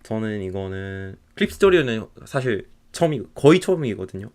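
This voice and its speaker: Young male streamer, American accent, low-pitched, easygoing and rambling. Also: a little fast. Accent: American English. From United States (Texas). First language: English. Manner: relaxed, rambling, laughs often. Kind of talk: casual chat while drawing.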